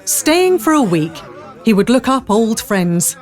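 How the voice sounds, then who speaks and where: A woman's voice: fairly high pitch, very animated, slow, some chatter behind. A woman reading scripted story narration as the narrator of an audio drama.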